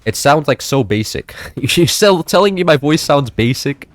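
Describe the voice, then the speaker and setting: A young man in his late teens chatting loosely while playing a game, rambling with many fillers and restarts, his voice a little high for a man. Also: expressive, moderate pace. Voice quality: slightly high-pitched for a man. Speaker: gameplay streamer. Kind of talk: casual chat while playing.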